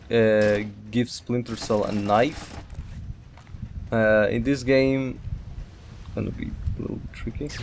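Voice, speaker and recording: A man's voice: medium pitch, darkish tone, a little hiss or hum. A man giving casual let's play commentary as he plays a video game. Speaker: gamer.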